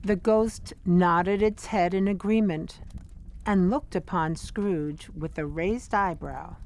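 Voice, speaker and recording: A woman narrating an audio drama as a storyteller, with measured, deliberate delivery. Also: fairly low pitch, a little mellow. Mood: storytelling.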